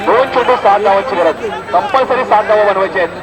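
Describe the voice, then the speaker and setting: A man's voice: high voice, fast talker, over loud music. A man giving live, informal cricket commentary at a village tournament, often repeating himself and addressing those around him. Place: outdoor cricket ground. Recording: noisy outdoor event with loud crowd. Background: crowd and outdoor ambience noise.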